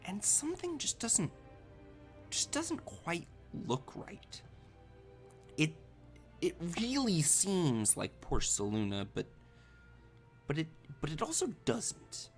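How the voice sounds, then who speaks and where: A man running a tabletop game, improvising narration in a halting, conversational way. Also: a little high, huge pitch swings, soft background music. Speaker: game master.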